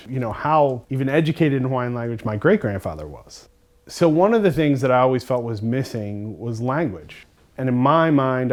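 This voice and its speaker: A man's voice: mid-range voice, a little mellow. A man from Philadelphia, a language student, speaking earnestly and thoughtfully in a documentary interview.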